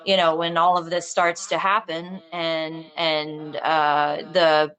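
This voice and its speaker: A woman talking casually in a panel discussion, thinking aloud in a halting, rambling way. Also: sits low, level tone, fairly bright tone, rough recording. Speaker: filmmaker discussing own script.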